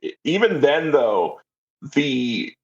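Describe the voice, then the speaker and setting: A man's voice: medium pitch, very animated, slow, dull audio. A man speaking casually as a podcast guest, a writer chatting about his creative work and slipping in quoted asides. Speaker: interview guest, writer and audio drama creator.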